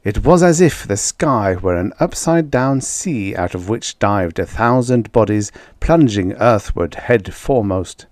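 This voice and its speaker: A man with a fairly low voice narrates a science fiction audiobook. His delivery is measured and dramatic, leaning on key words for emphasis. The sound is dry and close, with little room in it. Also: animated, a little mellow, dry recording. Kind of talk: scripted narration of vintage science fiction stories.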